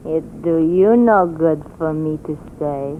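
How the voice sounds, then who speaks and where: An actress playing a stern, superstitious island servant who speaks terse broken English in a 1940s radio drama. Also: female voice, low voice, takes it slowly. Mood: ominous. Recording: muffled, noisy 1940s radio recording.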